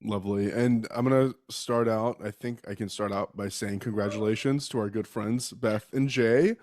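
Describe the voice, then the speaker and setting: A man's voice: fairly low pitch, steady tone, moderate pace, darkish tone, no room sound, studio quality. Under-the-weather man podcaster. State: sick with a respiratory virus. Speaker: podcast host. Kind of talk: casual chat and true-crime storytelling.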